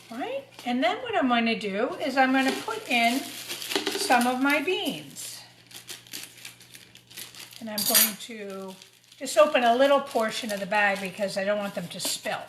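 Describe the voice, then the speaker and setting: A woman teacher giving an informal craft demonstration for young children, talking through each step as she works. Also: a little high. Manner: talks through each step while working. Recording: roomy echo, clear background noise and clatter.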